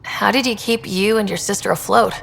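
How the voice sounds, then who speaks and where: A young female space explorer, curious and easygoing, with plenty of fry in a medium-pitched voice. The lines are casual game dialogue in a reverberant space. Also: fairly even intonation, a little fast, a bit bright. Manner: casual, inquisitive. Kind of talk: video game dialogue acting.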